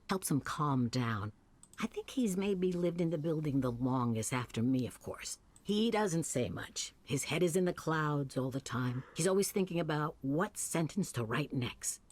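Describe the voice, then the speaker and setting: A woman's voice: low voice, a little mellow, breathy edge. A woman playing a chatty, lonely, scatterbrained older lady who rambles wistfully in a visual novel. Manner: rambling, folksy, wistful storytelling. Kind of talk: naturalistic visual novel voice acting.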